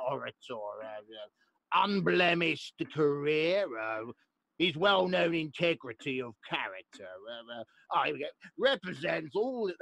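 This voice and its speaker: A man's voice: fairly high pitch, a bit bright, no room sound, heavily acted. An actor playing a crotchety old English lord and father in a radio play, sputtering and hesitant as he scolds. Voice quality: creaky voice.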